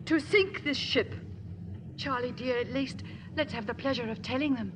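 A woman's voice: sits high, moderate pace, lightly acted. An actress playing a proper, determined and devoted woman in a 1950s radio drama, earnest and emotional in her delivery. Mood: proudly composed. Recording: faintly noisy old radio recording.

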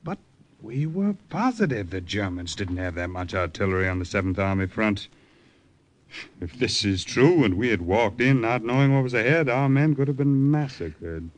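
An actor playing a grave, measured army colonel in a 1940s radio drama. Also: male voice, lowish voice, animated, slight background noise, close to an everyday voice. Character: grave middle-aged male army colonel.

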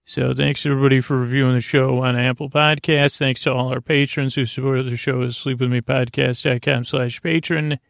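Male bedtime podcast host: soothing gravelly voice, subdued intonation, halting and rambling, self-deprecating mumble, close dry sound. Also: mid-range voice, little pitch movement, no room sound.

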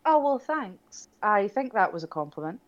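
A woman's voice: mid-range voice, big pitch swings, moderate pace. A young woman co-hosting a podcast, chatting casually with a wry, self-deprecating humour. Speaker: podcast co-host. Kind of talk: casual chat. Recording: dull lo-fi sound.

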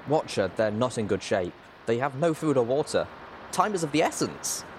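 A man's voice: medium pitch, sing-song, normal speed, a little hiss or hum, voice pushed up, close to an everyday voice, rough recording. A man voicing a young female pony who is urgent, cross and commanding in an audiobook story. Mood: urgent, pleading. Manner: pleading, commanding, exclaiming.

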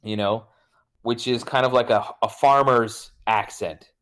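A man's voice: medium pitch, fairly even intonation, a little slow, a bit bright. A young American man, a guest in a casual podcast chat, rambling and swearing, with jokey acted-out quotes. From United States.

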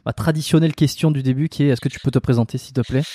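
A man in his thirties hosts the conversation with a soft, mellow tone. He stops and restarts often as he shapes his questions. The recording is dry and close. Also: mid-range voice, dry recording. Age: 30s. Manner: halting, many restarts and fillers. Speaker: interview host. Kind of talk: casual interview chat.

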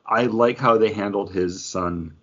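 A man's voice: fairly low pitch, measured pace, a bit bright, muffled recording. A man co-hosting a podcast, talking in casual conversation as he shares his opinions. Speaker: podcast co-host reviewing comics. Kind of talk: casual chat.

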